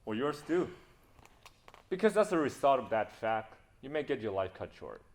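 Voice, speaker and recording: Male actor as self-assured adventurer captain, stage play, mocking, sardonic, lively varied pitch. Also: normal speed. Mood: cool, mocking. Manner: sardonic, taunting. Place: stage performance.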